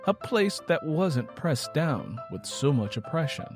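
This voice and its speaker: Male storyteller over soft music. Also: fairly high pitch, very animated, a little slow. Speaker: narrator. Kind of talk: dramatic scripted story narration.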